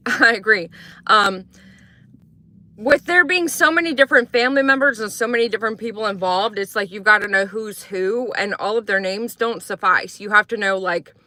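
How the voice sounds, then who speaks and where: A woman's voice: mid-range voice, moderate pace, sharp tone, dry recording. A young woman, from Croatia, hosting a casual morning true-crime livestream, chatting and answering viewers as she rambles through updates.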